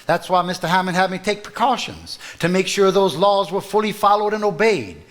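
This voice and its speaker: Smug, higher-voiced Southern lawyer. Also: male voice, a bit bright. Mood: firm, satisfied. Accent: Southern American. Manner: courtly, smug, deliberate. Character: smug, unflappable small-town Southern estate lawyer. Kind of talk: live stage play acting.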